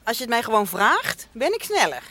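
A woman playing a sardonic, highbrow radio reporter in a radio comedy. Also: wildly swooping pitch, a little fast, sharp tone, heavily acted. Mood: dry, exasperated.

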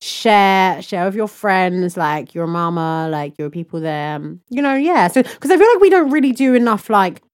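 A woman's voice: medium pitch, lively intonation, moderate pace, few pauses. A woman co-hosting a podcast in casual, chatty conversation, talking informally with plenty of slang. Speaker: podcast co-host. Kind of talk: casual chat.

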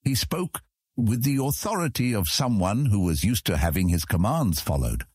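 Deep, husky male fantasy narrator. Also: big pitch swings, normal speed, a little mellow, dry recording, clean studio sound. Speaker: audiobook narrator.

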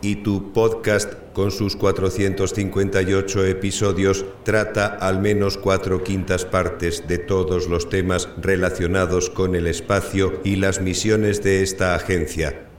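Echoey, very low-voiced spaceship AI for audio drama; calm, eloquent, measured and courteous. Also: male voice. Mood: courteous, wry. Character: calm, eloquent male artificial intelligence aboard a spaceship. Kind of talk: scripted audio drama press conference. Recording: echoey room with faint noise.

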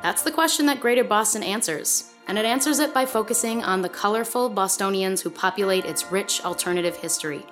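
Female podcast producer, scripted introduction, medium pitch, light music bed. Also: brisk, few pauses, fairly bright tone.

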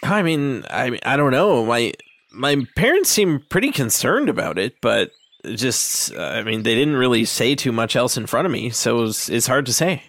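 A man's voice: mid-range voice, big pitch swings, moderate pace, fairly bright tone, voice pushed down. A man playing a hesitant, easygoing sixteen-year-old village boy in a tabletop game, stammering politely through his words. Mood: unsure, worried.

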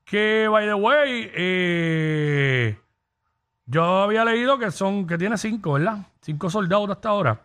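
A man with a Puerto Rican accent hosting a casual entertainment gossip show, animated and slangy, dropping in English phrases. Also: high-pitched, a little slow, clean tone. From Puerto Rico. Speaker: talk show host.

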